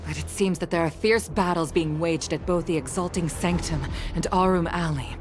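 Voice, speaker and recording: Actress as a low-voiced, composed woman commander. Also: moderate pace, background noise, lightly acted. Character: composed mature woman commander, cautioning old comrade. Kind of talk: anime-style game voice acting.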